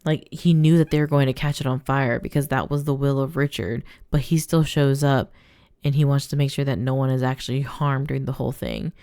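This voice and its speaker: A woman giving casual, rambling gameplay commentary, thinking her thoughts out loud as she goes. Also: extremely low pitch, fairly even intonation, a little fast, clear, resonant tone, no room sound, studio quality. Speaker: gaming let's player.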